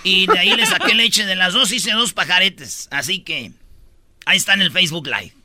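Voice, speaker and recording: A man's voice: high-pitched, expressive, normal speed, sharp tone, exaggerated voice. A man voicing Erazno, a cheeky male radio host who makes crude teasing jokes. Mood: mocking.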